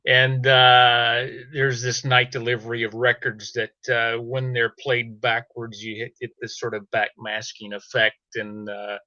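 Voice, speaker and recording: A middle-aged man, an audio drama podcaster, chatting casually in a halting, hesitant way on a slightly muffled recording. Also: mid-range voice, flat delivery, sharp tone, dry recording. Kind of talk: casual chat.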